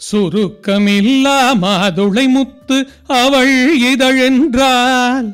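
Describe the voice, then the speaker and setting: A lovestruck young poet in a radio play, reciting dreamily over music. The delivery is extremely slow and drawn out, in a clean, smooth, raised voice. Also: male voice, very high-pitched, fairly even intonation, fairly bright tone, exaggerated voice. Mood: dreamy, adoring. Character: lovestruck young man reciting inner love poetry. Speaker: actor in a radio drama. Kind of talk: poetry recital in radio drama.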